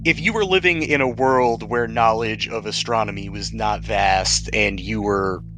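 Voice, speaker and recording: A man with a low voice runs a tabletop roleplaying game as game master. He narrates and explains rulings over faint music. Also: unhurried.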